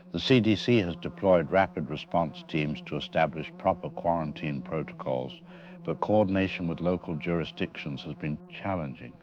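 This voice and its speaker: A man playing a frank, grave disease-control doctor giving a measured, clinical briefing in an audio drama. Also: very deep voice. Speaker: expert interviewed on radio. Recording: dull lo-fi radio sound, music behind.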